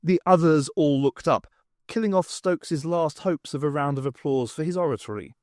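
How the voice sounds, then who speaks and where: A man's voice: fairly high pitch, moderate pace, a little mellow, no echo, studio quality. A man narrating a scripted story as an audiobook reader.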